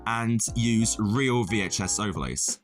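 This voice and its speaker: A man with a fairly low voice narrates a tutorial as a casual video creator. His humour is deadpan and self-deprecating, with blunt swearing dropped in. Music plays under the voice. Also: a little slow. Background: background music.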